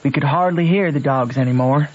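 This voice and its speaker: A man's voice: mid-range voice, a little fast, a little mellow, a little hiss or hum, lightly acted. A man playing a rustic American boy with a Southern accent, telling his adventures in plain country dialect for a radio drama. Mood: urgent recounting. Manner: folksy, plain-spoken storytelling in country dialect. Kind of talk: old-time radio drama narration. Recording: dull old radio recording with music.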